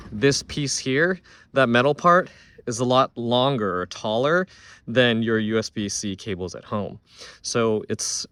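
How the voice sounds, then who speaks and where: A man's voice: medium pitch, fairly bright tone, dry recording. A man giving a casual, unscripted product review, thinking aloud in a conversational way as he goes.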